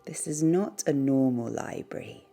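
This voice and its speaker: A woman leading a guided meditation in a soothing, gentle, unhurried way, pausing between short phrases. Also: extremely low pitch, gravelly. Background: background music.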